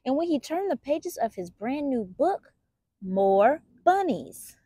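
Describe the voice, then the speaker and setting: A woman reading a children's picture book aloud as a storytime host, in an expressive, deliberate storyteller's manner. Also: sits high, slightly rough, no echo, studio quality.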